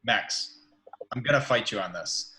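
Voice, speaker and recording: A man playing a firm, principled billionaire businessman in a measured, confrontational way at an amateur table read. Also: fairly low pitch, lively intonation, bright, forceful tone, a little hiss or hum. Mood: firm, challenging.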